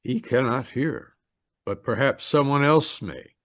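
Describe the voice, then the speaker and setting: A man's voice: mid-range voice, a bit bright, no echo, close to an everyday voice. Man playing solemn afterlife guide, close dry sound. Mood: solemn, stern. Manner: formal, measured, solemn. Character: stern, calm otherworldly guide to the dead. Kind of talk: old-time radio mystery acting.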